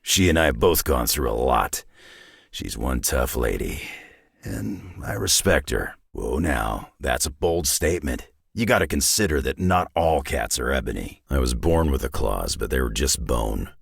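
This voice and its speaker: A battle-worn older brawler for a video game, with an extremely deep, raspy voice. He is sardonic and terse but loyal, talking like a folksy tough guy. His delivery swings widely in pitch, from low rumble to bark. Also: big pitch swings, measured pace, no room sound, studio quality, full character voice. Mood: dry, gruff. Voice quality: deep gravelly rasp. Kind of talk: video game character voice lines.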